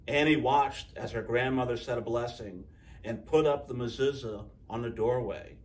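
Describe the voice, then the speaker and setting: A man reading a picture book aloud to children in an expressive storyteller's style, acting out the dialogue. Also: mid-range voice, normal speed, recorded from a distance.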